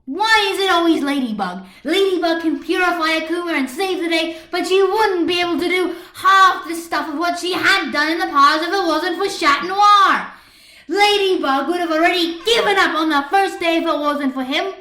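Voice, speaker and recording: A woman voicing a fiery, stubborn schoolgirl in a fan fiction story, forceful and indignant as she argues. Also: extremely high pitch, moderate pace, pitched above the performer's own voice. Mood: furious ranting. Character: fiery, stubborn schoolgirl passionately defending her hero. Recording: echoey room on computer microphone.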